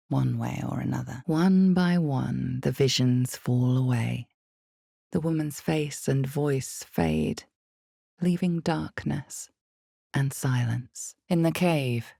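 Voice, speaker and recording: A woman voicing the omniscient narrator of a dark fantasy game, grave and ominous, with measured delivery and dramatic pauses. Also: no echo, clean studio sound, full character voice. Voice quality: creaky, low, mellow. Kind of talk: dramatic scripted second-person fantasy narration.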